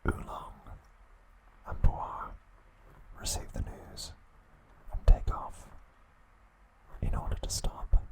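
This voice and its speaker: A man narrating scripted ASMR in a breathy, unvoiced whisper, with hushed, deliberate phrasing and pauses. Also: low-quality recording. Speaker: ASMR host.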